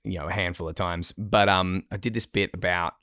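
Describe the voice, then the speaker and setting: A man's voice: low voice, steady tone, a little fast, sharp tone, no room sound, studio quality. An Australian man chatting casually as a podcast co-host, jokey and rambling as he tells stories. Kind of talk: casual comic chat.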